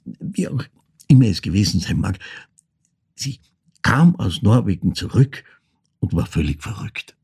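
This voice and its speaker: Older Austrian man haunted by memories; low, badly frayed husky voice, halting theatrical storytelling. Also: sing-song, a little mellow, exaggerated voice. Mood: brooding. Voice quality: husky, creaky. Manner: halting, brooding, shifting into whispers. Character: older man from Vorarlberg, haunted, remembering his troubled mother. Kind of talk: theatrical monologue, intimate storytelling.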